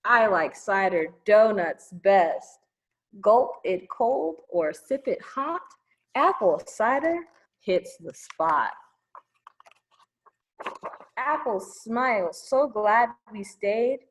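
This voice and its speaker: A woman leading a children's storytime, reading a picture book aloud with chatty asides in an expressive, singsong manner aimed at young kids. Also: mid-range voice, extremely slow, pauses often, low-quality recording.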